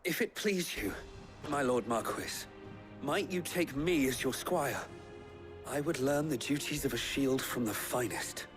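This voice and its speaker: A man's voice: mid-range voice, expressive, a little slow, music in the background, natural voice. A man playing an eager young noble who hopes to be a squire, formal and courteous in a game drama. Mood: earnest. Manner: formal, courteous, nervously deferential. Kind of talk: video game drama acting.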